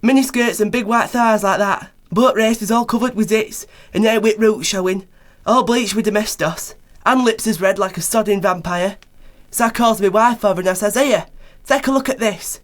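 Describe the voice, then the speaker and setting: A man's voice: high voice, moderate pace, close to an everyday voice. A man acts out a coarse working-class joker for a radio play. He rambles in slangy, colloquial talk, gossipy and blunt, forever tacking little questions onto his sentences. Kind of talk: radio play character acting.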